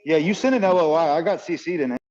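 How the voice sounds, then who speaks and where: Man, business partner, video call, casual slangy talk, brisk pace, muffled call audio. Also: fairly high pitch, a little mellow. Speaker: real estate business partner.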